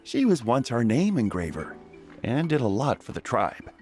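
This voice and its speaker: Man as genial merchant, wildly swinging pitch. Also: big pitch swings, moderate pace, a little mellow, faint music behind, natural voice. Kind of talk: video game voice acting.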